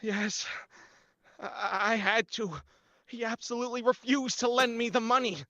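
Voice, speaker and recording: A man's voice: extremely high pitch, flat delivery, a little slow, pushed and bright, dry recording, heavily acted. A man playing a nervous, guilt-ridden, weak-willed accomplice in a melodramatic 1940s-style radio horror play, on a slightly dull recording. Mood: defensive. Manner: anxious, melodramatic, hesitant.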